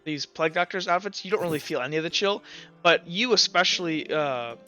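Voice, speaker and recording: A man running a tabletop game, improvising narration in a halting, casual way. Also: a little high, pushed and bright, muffled recording, soft background music. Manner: halting, casual, full of "like" and "kinda". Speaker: game master.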